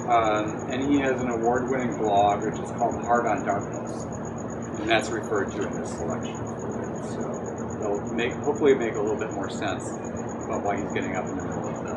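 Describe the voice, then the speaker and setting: A man reading aloud from his own novel as its author at a live literary event. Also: mid-range voice. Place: bookstore cafe reading event. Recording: distant mic, very loud room noise.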